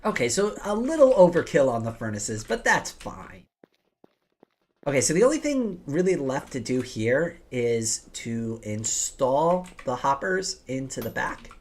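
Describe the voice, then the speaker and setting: A woman with a rough, slightly hoarse and rattly voice, chatting casually as she hosts a game livestream and talks through her plans. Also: very deep voice, very animated, a little slow, darkish tone, a little hiss or hum. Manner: chatty, explains plans aloud while playing. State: hoarse from days of coughing. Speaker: livestream host. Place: home office. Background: music.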